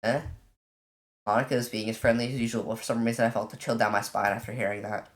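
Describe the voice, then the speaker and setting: A let's player casually commenting on a visual novel and reading its text aloud, in an informal, halting way. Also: mid-range voice, fairly even intonation. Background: game music.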